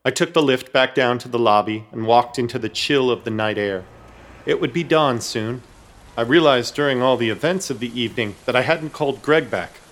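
A man's voice: medium pitch, moderate pace, a bit bright, lightly acted. An actor narrating a horror-comedy radio play as a jittery, self-mocking everyman, telling the tale wryly with dramatic quoted outbursts.

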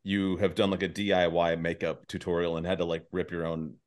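A man with a deep, low voice hosts a casual conversation. He feels his way through each thought, stopping and restarting as he rambles along in an informal interview style. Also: flat delivery. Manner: halting, rambling delivery. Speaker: podcast host.

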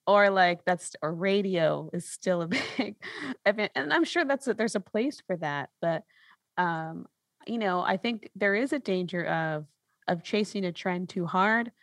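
A woman's voice: fairly low pitch, measured pace, no room sound, studio quality. A woman hosting a podcast in a casual, reflective conversational style, often checking in with a questioning tag as she explains. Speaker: podcast host.

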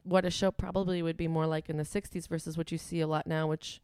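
A woman's voice: low voice, flat delivery, quick pace, a little mellow, smooth, no room sound, clean studio sound. Chatty woman with a Wisconsin accent. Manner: chatty, frequent "like" and "um", laughs easily. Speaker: musician guest. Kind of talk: casual interview chat.

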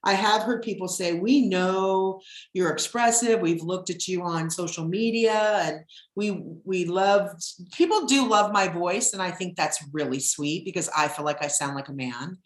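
A middle-aged woman with a deep husky voice, hosting her podcast in casual chat, rambling with plenty of ums. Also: normal speed, reverberant. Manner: chatty, rambling, with frequent ums. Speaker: podcast host.

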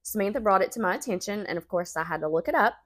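A woman, co-hosting a podcast, nasal and congested from a sinus infection, chatty and quick to laugh. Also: mid-range voice, animated. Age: 30s. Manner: chatty, laughs often, says y'all. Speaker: podcast co-host. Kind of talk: casual chat and storytelling.

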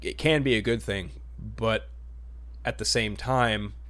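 Low-voiced man hosting a podcast. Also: takes it slowly, fairly bright tone. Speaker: podcast host. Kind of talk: casual chat about superhero films.

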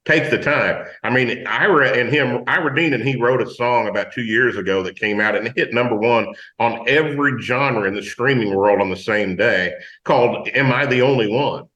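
A man with a low voice, a country singer and guest on a talk radio show, speaking bluntly in folksy words. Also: normal speed, few pauses, pushed and bright, echoey room, highs cut off.

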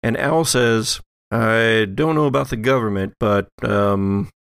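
Gravelly man running a tabletop game. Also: fairly low pitch, a little slow, a bit bright, no echo, studio quality. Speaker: game master. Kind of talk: narrating a tabletop role-playing game, semi-improvised.

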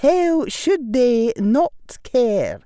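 A man voicing a strict witch professor, a woman with a precise, measured Scottish accent, in an audiobook story. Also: very high-pitched, very animated, dark tone, smooth, no echo, studio quality, voice pushed up, heavily acted. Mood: reproving.

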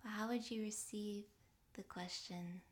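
A woman leads a guided rest meditation in a soft, breathy near-whisper. Her delivery is very slow and gentle, with long pauses between phrases. The pitch stays level and calm throughout. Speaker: yoga nidra meditation guide.

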